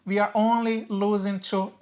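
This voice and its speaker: A woman's voice: medium pitch, level tone, normal speed, darkish tone. Brazilian-accented woman chatting to camera. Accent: Brazilian Portuguese. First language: Portuguese. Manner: chatty, upbeat, with small grammar slips. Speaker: co-host of a personal finance channel. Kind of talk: casual explanatory chat to camera.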